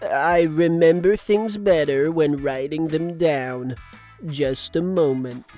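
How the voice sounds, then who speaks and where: An actor voicing a dull, pedantic male radio DJ in a children's comedy audio drama, deadpan and painstakingly literal. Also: on the high side, slow, soft background music. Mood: plodding, fussy.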